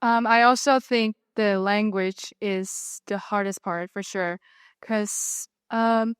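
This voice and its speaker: A woman's voice: mid-range voice, steady tone, takes it slowly, clean tone, no echo, studio quality. A teenage girl with a Chinese accent, an international student giving earnest answers with some fillers. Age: teens.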